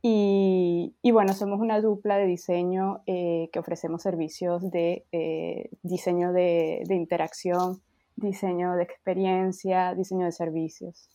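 A woman's voice: on the low side, flat delivery, measured pace, no echo. A woman in her thirties from Venezuela with a Venezuelan accent, a guest chatting on a podcast over a video call, laughing lightly between thoughts. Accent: Venezuelan Spanish. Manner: warm, laughs lightly, many fillers. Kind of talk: casual interview chat. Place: home, in France.

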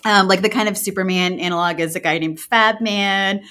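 A woman's voice: medium pitch, fairly even intonation, moderate pace, sharp tone. Upbeat woman in casual podcast chat. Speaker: podcast co-host giving recommendations.

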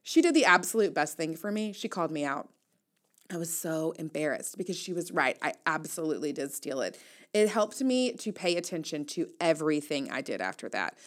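A woman's voice: sits low, very animated, brisk. A woman hosting an audio newsletter, reading her casual commentary aloud in a wry, playful, conversational way.

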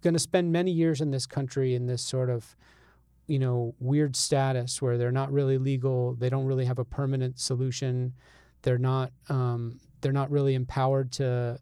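Smooth, mellow man explaining carefully. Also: medium pitch, flat delivery, no room sound, clean studio sound. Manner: measured, hesitant, careful explaining. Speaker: newspaper reporter as interview guest. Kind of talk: explanatory interview talk.

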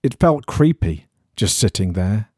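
A man reading an eerie horror story in a measured, suspenseful storytelling manner. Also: fairly low pitch, sing-song, warm tone, slightly rough, no echo, studio quality. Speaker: story reader.

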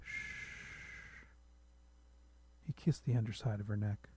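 A man's voice: on the low side, fairly even intonation, normal speed, dark tone, slightly rough, dry recording. A man reading a literary short story aloud as a narrator, close to the microphone.

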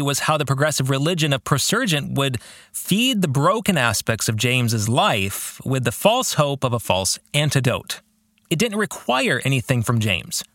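Male podcast host, slightly high pitch, very lively intonation, earnest religious book commentary, emphatic phrasing. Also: no room sound, studio quality. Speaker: podcast host and author discussing his own novel. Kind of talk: reflective religious book commentary, semi-scripted.

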